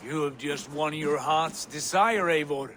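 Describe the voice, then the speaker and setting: A man's voice: fairly high pitch, measured pace, background noise, close to an everyday voice. A man playing a commanding warrior prince. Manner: commanding, steady. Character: proud male warrior prince, brother figure. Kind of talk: video game cutscene acting.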